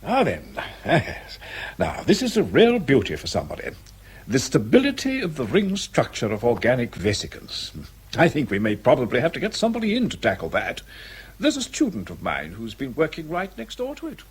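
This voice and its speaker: Brisk British academic chief, radio drama, husky, wildly varied pitch, patronizing, donnish. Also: male voice, wildly swooping pitch, heavily acted.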